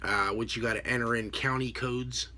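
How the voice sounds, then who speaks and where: A middle-aged American man talks casually into a computer webcam in a room at home. His voice is bright and forward, at a middling pitch. He explains things off the cuff, halting and rambling as he goes. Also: steady tone. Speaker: vlogger, radio scanner hobbyist. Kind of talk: casual unscripted explanation to camera. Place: small home room with a desk, indoors. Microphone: computer webcam at desk distance.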